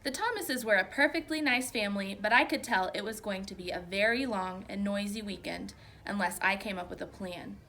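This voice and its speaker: A woman's voice: mid-range voice, brisk, hardly any pauses, bright, forceful tone, slight background noise. A woman voicing a small, clever storybook hamster who tells the tale earnestly and wryly. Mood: earnest, thoughtful.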